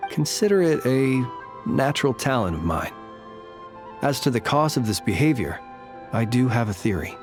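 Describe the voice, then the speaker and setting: A man voicing a calm, courtly lightkeeper in a video game, speaking in formal, measured, old-fashioned phrases. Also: mid-range voice, music in the background, natural voice. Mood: grave.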